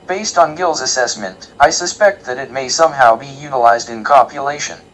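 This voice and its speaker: A man's voice: mid-range voice, fairly quick, a bit bright, soft background music, lightly acted, low-quality recording. A man playing a polite, literal-minded scholarly robot alien in an audio comedy, speaking formally and precisely. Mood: analytical. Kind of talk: comedic audio drama acting.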